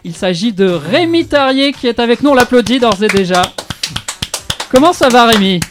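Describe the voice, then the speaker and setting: A man's voice: very high-pitched, expressive, normal speed. A man hosting a radio music show in casual, joking chat with many fillers and hesitations.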